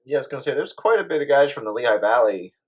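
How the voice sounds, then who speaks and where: A man's voice: mid-range voice, animated, racing. Man on a noisy CB radio. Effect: two-way radio transmission. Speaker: radio hobbyist. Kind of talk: casual radio chat. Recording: CB radio with clear static.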